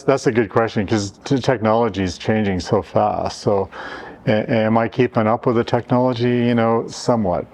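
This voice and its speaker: A man's voice: lowish voice, darkish tone. Hesitant man in a casual interview. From Canada. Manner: hesitant, many restarts and fillers. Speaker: interviewee, event organizer.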